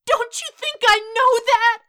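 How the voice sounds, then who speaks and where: A woman's voice: very high-pitched, a little slow, bright, forceful tone, pitched above the performer's own voice, heavily acted. A woman voicing a timid, anguished teenage boy in a dramatic story reading, stammering and pleading through tears. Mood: desperate misery. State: crying. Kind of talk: dramatic fan fiction reading.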